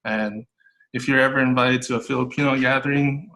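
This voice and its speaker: Man, young adult, bright tone, flat intonation, relaxed with fillers, school morning show guest. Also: mid-range voice, a little fast, low-quality recording. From United States. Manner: friendly, relaxed, with fillers and restarts. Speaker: school tech support guest. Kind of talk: casual interview answers.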